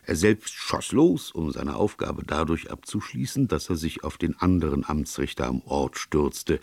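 A man's voice: extremely low pitch, animated, unhurried, creaky, darkish tone, no room sound. A man reading literary fiction aloud as an audiobook narrator, in scripted storytelling style.

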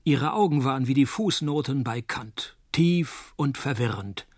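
An actor playing a hard-boiled, laconic private detective, delivering deadpan noir radio play narration and dry wit. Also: male voice, mid-range voice, a little slow, breathy edge, no echo. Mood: dry, wry. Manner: deadpan, wry.

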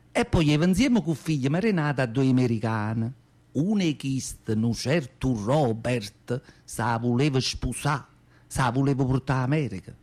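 A man's voice: mid-range voice, a little mellow, lightly acted. A male actor playing an elderly southern Italian mother, rambling and confiding her memories in Neapolitan dialect in a radio monologue. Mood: wistful. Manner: rambling, confiding reminiscence with repeated phrases. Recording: guitar music behind the voice. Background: plucked guitar music.

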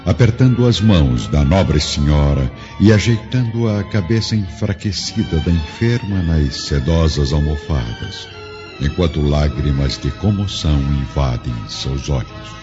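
A man's voice: a bass voice, animated, measured pace. A man with a Brazilian accent giving solemn, dramatic scripted narration for a radio drama. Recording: muffled, long reverb, music behind.

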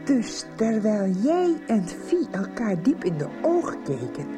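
A woman's voice: medium pitch, big pitch swings, unhurried, music in the background. An actress playing Anneke, an aggrieved, impatient middle-aged wife and mother in a Dutch radio soap, insistent and indignant. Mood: indignant, accusing.

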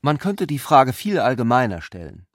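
A man acts a courteous, eloquent intellectual with an ironic bent for a literary radio play. His voice sits at a medium pitch and speaks deliberately, with a very dry, close sound. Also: very animated, no echo, close to an everyday voice. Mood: eloquent, questioning. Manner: eloquent, deliberate, earnestly polite. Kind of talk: German literary radio drama.

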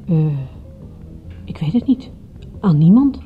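An actress playing a detective's down-to-earth female companion, speaking in a dry, colloquial, gently teasing radio-drama manner. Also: fairly low pitch, animated, slow pace, faint music behind. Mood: unconvinced, practical. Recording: dull, muffled old radio-play recording.

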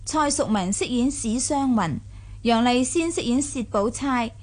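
A woman's voice: a little high, measured pace, a little mellow. A woman with a Hong Kong Cantonese accent reading formal, scripted radio drama credits as announcer.

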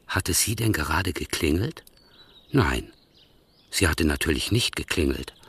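A man narrating a literary radio play in a husky voice, measured and musing. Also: very deep voice, lively intonation, fairly bright tone.

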